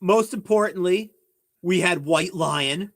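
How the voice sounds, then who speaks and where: High-pitched man around forty, chatting. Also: takes it slowly, fairly bright tone. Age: 40s. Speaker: podcast panelist. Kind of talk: casual chat.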